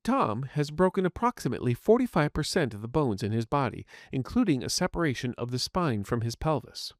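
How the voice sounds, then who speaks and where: A man's voice: medium pitch, sing-song, a little fast, no room sound, clean studio sound. A man voicing a polite, earnest artificial intelligence medical assistant in an audio story, speaking formally and courteously. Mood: clinical, explanatory. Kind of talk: scripted audiobook narration in character.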